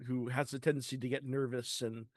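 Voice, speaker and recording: A man's voice: medium pitch, level tone, a little fast, no room sound, studio quality. A man from San Diego co-hosting a podcast in casual chat, hesitant with many ums. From San Diego, California. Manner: hesitant, full of ums and restarts. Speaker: podcast co-host.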